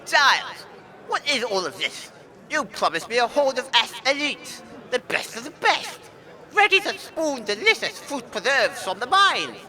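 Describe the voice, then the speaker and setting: A very high, piercing and swooping creature voice. It is a haughty wasp overlord, prim and condescending, scolding like a boss in a comic radio play. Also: male voice, big pitch swings, unhurried, sharp tone, exaggerated voice. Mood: indignant. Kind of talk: comedic audio drama acting. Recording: faint outdoor crowd behind.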